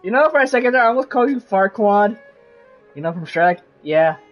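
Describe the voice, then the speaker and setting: Animated woman doing casual game commentary. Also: medium pitch, brisk, dull audio, soft background music. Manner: playful, reactive. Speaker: video game streamer.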